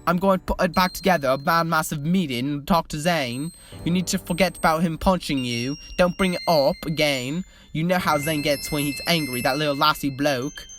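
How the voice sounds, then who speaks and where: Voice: medium pitch, moderate pace, hardly any pauses, fairly bright tone, natural voice. A frazzled young man trying to hold his band together, tumbling over his words and calling everyone lad and mate, as a reader puts him on. Music plays under him. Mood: anxious. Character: anxious young male pop singer calling everyone lad. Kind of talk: reading fan fiction aloud.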